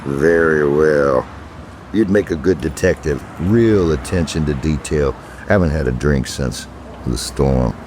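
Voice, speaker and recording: An actor playing a weary, gruff police detective in a horror audio drama, with a wry, guarded, commanding manner. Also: male voice, very deep voice, measured pace, background noise. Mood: subdued, pained.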